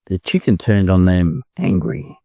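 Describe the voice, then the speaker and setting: Australian male storybook narrator, mellow tone, lively range, close dry sound. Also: low-pitched, unhurried, gravelly, dry recording, muffled recording. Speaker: storybook reader. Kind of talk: expressive children's story narration.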